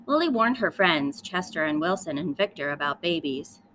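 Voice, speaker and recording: A woman reading a children's story aloud in an expressive style with dramatic emphasis. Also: on the low side, normal speed, a little hiss or hum, low-quality recording. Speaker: storybook reader.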